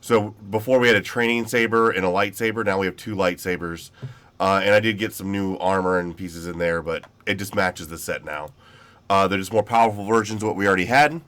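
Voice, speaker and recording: A man's voice: sits low, brisk, fairly bright tone. A man hosting casual gameplay commentary in an easygoing, rambling way, sounding a little nasal from being sick. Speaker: gaming channel host.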